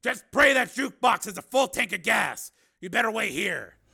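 Man playing tough armed male hero, raised pitch, loud bright delivery, impatient, clipped orders, audiobook drama. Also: expressive, moderate pace, vocal fry, breathy edge, heavily acted. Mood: brisk, confident. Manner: forceful, commanding, clipped orders.

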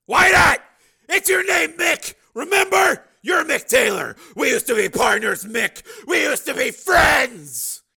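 Narrator doing a guy yelling pleas to an old friend, raised and pushed, bright rasp. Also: very high voice, very animated, slow, pitched above the performer's own voice, full character voice. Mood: pleading. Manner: shouting, pleading across a distance. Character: male ex-partner pleading to a gunman, steady.

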